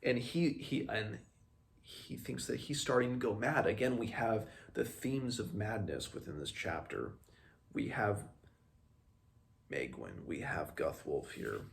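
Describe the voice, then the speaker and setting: A man talks through a book in a halting, thoughtful way, as a read-along host. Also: fairly low pitch, animated, vocal fry. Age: 20s. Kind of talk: casual book commentary with passages read aloud. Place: small room at home, indoors.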